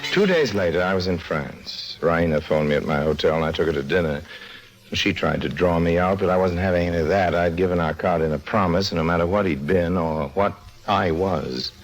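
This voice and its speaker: Low-voiced, wry noir actor as shady narrator. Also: male voice, brisk, soft background music, lightly acted, poor audio. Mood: wry narration. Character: brash, shady man, first-person noir narrator. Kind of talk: 1950s radio noir acting and narration. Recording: vintage 1950s radio drama.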